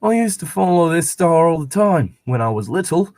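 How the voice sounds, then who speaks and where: A man's voice: a little high, very animated, normal speed, a little mellow, dry recording, studio quality, pitched above the performer's own voice. A man voicing a young Greek man fondly reminiscing, in an attempted Greek accent that slips toward posh English, for a fan fiction reading. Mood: wistful. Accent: attempted Greek, slipping toward posh English.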